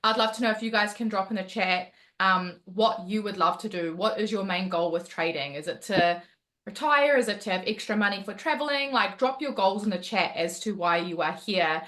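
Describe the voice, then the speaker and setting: A woman with a New Zealand accent, a motivational coach telling her story on a video call, lively and laughing often. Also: medium pitch, normal speed. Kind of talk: webinar talk on a video call.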